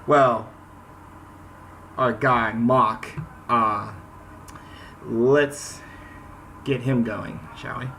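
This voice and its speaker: A man's voice: medium pitch, steady tone, very slow, pauses often, bright, forceful tone, a little hiss or hum. A man hosting a gaming video, chatting casually and reading game text aloud. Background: game soundtrack music.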